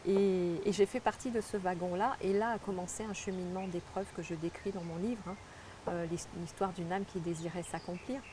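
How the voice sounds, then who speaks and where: A woman's voice: on the low side, steady tone, brisk, noisy background. A middle-aged woman from French Guiana, a guest telling her life story in an earnest, reflective way with frequent restarts. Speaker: interview guest, spiritual guide. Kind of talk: personal testimony in conversation.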